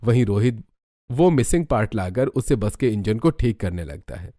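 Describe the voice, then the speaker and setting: A man's voice: on the low side, animated, normal speed, mellow, no echo, studio quality. A man reading scripted story narration for a cartoon tale.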